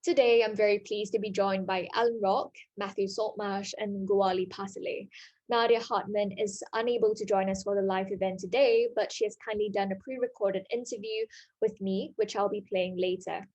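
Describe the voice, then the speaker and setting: A woman's voice: medium pitch, fairly even intonation, normal speed, a little mellow. A woman moderating an online panel, reading announcements and introducing speakers in a polite, formal way. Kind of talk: scripted event moderation.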